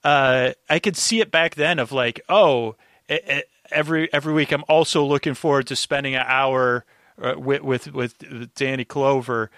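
A man chatting casually as a podcast guest, rambling and halting as he circles back over his words. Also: medium pitch, fairly even intonation, a bit bright, no echo, studio quality. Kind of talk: casual conversation, recapping an old radio show.

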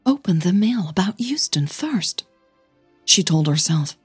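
A woman with a soft, breathy voice, a podcast host who narrates her own audio fiction, over music. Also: lowish voice, very animated, measured pace, dry recording. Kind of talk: scripted story narration with casual host outro. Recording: music bed under the voice.

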